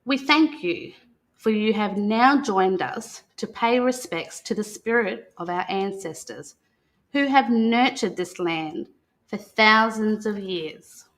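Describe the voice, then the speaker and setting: An Australian woman speaking as a guest storyteller, talking and reading a picture book aloud in a gentle manner. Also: medium pitch, takes it slowly. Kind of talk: unscripted talk and picture-book read-aloud.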